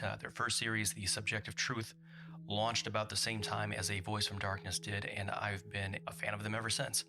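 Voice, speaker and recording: A man speaking as a podcast's producer, giving casual announcements and thanks to listeners. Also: fairly low pitch.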